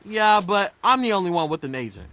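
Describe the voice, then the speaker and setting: A man playing an upbeat, cocky teenage mutant turtle in an animated game, breezy and boastful. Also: high voice, a little fast, exaggerated voice. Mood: excited, boastful.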